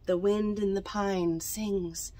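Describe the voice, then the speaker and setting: A young woman reads picture books aloud to children in an unhurried storytelling manner, adding chatty asides about the pictures. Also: mid-range voice, steady tone, dark tone. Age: young adult. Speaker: library storytime reader. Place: indoors among bookshelves in a small library bookmobile.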